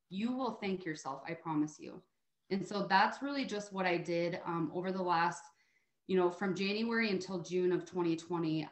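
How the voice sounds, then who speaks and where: An American woman speaks as a guest on a team call with an emphatic, encouraging manner. Her voice is a little low for a woman. She talks conversationally, like a motivator, checking in with listeners, and the audio has a clear room echo. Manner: encouraging, emphatic, often adds "right?". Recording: roomy, echoey call audio.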